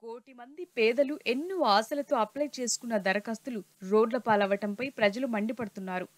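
A woman narrating a news report in a steady, formal reporting voice. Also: a little high, brisk.

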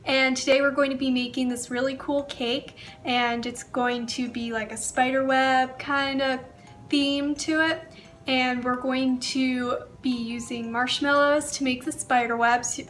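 Casting: young female baking vlogger with a cold, high voice, casual recipe narration, music underneath. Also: fairly bright tone, slight background noise. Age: young adult. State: sick with a cold. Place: home kitchen, indoors.

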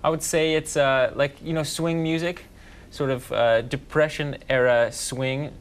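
A young man from the West Coast of Canada, a guest musician chatting casually in a TV studio, relaxed and joking with lots of fillers. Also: fairly high pitch, unhurried. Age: young adult. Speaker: band leader, guest musician. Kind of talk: casual interview chat. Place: TV news studio.

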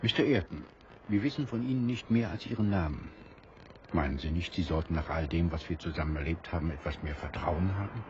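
An actor playing a calm, fair-minded engineer who leads castaways in a radio play, speaking in a measured, earnest way. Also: male voice, deep voice, highs cut off, background noise, close to an everyday voice. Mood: earnest, kind. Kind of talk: German radio play acting.

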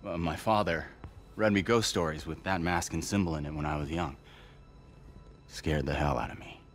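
Deep-voiced, composed businessman. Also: steady tone, normal speed, soft background music. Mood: grave, cautioning. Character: composed, courteous businessman, quietly grave. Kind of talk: naturalistic video game cutscene acting.

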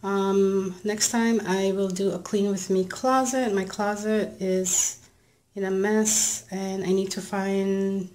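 A woman's voice: mid-range voice, steady tone, takes it slowly, a little mellow. A young woman narrating a casual tour of her bedroom at home, chatting with many ums and likes while filming on a handheld camera. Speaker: home vlogger. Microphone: handheld camera, filming while walking.

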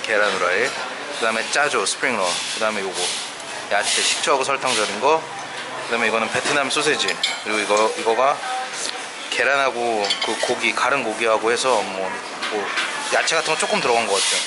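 A man's voice: on the low side, a little fast. A man casually narrating a food vlog, chatting about dishes and prices on camera at street restaurants. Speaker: travel vlogger. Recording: loud street noise, traffic.

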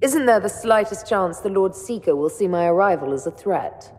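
An actress playing a dry, sardonic young heroine in a video game, with a wry, deadpan delivery. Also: female voice, medium pitch, normal speed, close to an everyday voice. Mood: guarded. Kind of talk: dramatic video game dialogue.

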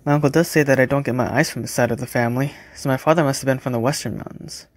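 A man's voice: mid-range voice, fairly even intonation, racing, dry recording, studio quality, natural voice. A man playing a courteous, reserved, caring young mortician in an audio drama. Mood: reflective. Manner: courteous, understated.

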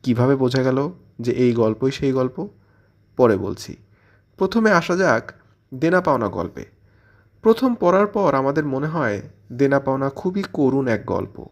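Man, mid-pitched voice, literary presenter, scripted commentary, explanatory delivery, question-and-answer phrasing. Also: darkish tone. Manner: explanatory, poses questions and then answers them. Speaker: presenter of a literary audio channel.

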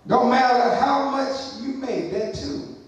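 A high-pitched man preaching slowly and deliberately from a pulpit. His voice rings through a very echoey church hall and is caught from some distance. He builds to shouted emphasis and calls out to the congregation. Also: highs cut off, slight background noise. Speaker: pastor. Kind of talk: sermon. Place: church sanctuary, large, indoors. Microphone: pulpit microphone, far camera.

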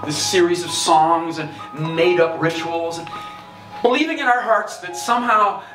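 A man's voice: sits high, normal speed. A man preaching theatrically on stage into a handheld mic, fervent and rousing, repeating himself as he builds. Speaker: performance preacher, host. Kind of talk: theatrical sermon. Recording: echoey hall, distant mic, loud music.

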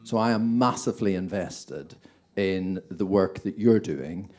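A man with a Northern Irish accent introducing himself in a measured, self-deprecating way on a church panel, holding a microphone in a large conference room. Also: sits low, a little mellow. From Northern Ireland. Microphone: handheld microphone.

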